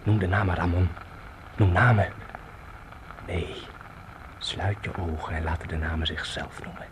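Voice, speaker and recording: Weary Spanish dreamer, low voice, brooding, halting, theatrical, radio play, noisy recording. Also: male voice, steady tone. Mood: dreamy, haunted. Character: weary Spanish man with a guitar, dreamily haunted by memories. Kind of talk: radio drama acting.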